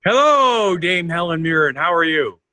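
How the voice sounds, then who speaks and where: A male podcast co-host chatting casually, playful and a bit rambling. His voice sits fairly high and bright. His pitch swings widely as he talks. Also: lively intonation, moderate pace. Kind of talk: casual chat.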